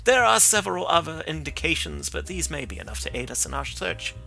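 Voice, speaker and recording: Confident detective, read by a man, gravelly edge. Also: sing-song, fairly quick, pushed and bright, soft background music, heavily acted. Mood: assured. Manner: crisp, assured statements, sometimes low murmurs to himself. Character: sharp, confident male detective, terse and assured. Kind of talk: audiobook reading.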